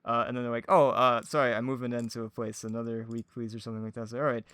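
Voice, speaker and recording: Casting: male podcast guest, clean smooth voice, mid pitch, halting casual storyteller who giggles easily, dry close sound. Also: little pitch movement, fairly bright tone, dry recording. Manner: hesitant, giggly, frequent laughter while talking. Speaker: podcast guest, audio drama producer. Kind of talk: casual anecdotal chat.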